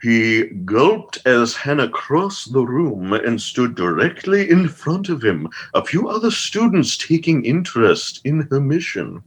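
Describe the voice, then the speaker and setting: A man playing a vain, pompous gentleman storyteller in a comedy radio play, with a grandiose, florid delivery. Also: mid-range voice, sing-song, a little slow, few pauses, breathy edge. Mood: dramatic suspense.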